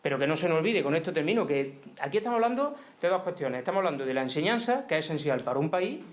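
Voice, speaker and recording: A man's voice: a little high, lively intonation, very fast. A middle-aged man from Spain speaking at a conference in a hall, emphatic and argumentative, often ending points with tag questions. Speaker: union speaker. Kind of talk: conference talk.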